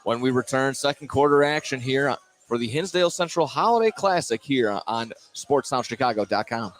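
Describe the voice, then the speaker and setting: A man doing play-by-play radio commentary of a high school basketball game. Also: lively intonation, normal speed. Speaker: play-by-play announcer. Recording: noisy live gym broadcast.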